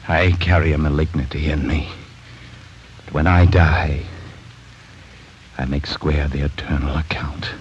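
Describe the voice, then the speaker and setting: A man's voice: rumbling low, steady tone, measured pace, long pauses, a little hiss or hum. An actor playing a tormented, guilt-ridden man who begs to die, whispering and pleading feverishly in 1940s radio drama style. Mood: desperate. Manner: desperate, broken, repeating himself. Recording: muffled 1940s radio broadcast.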